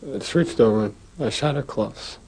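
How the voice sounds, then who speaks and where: A man in his twenties giving courtroom testimony in halting, broken phrases. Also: medium pitch, darkish tone, slight background noise, rough recording. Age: 20s.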